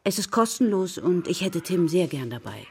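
Slightly husky, overeager woman schoolteacher. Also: lowish voice, big pitch swings, normal speed, exaggerated voice. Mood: politely eager. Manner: polite, hesitant, rambling, eager to please. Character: well-meaning, overeager primary school teacher. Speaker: actress in a children's radio play. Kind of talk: naturalistic German radio drama acting.

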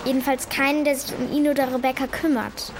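A woman's voice: sits high, flat delivery, brisk, background noise. A female performer playing an earnest, insistent young schoolgirl in a German children's radio play, blunt and worried. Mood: adamant, worried. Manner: blunt, insistent, hesitant when unsure.

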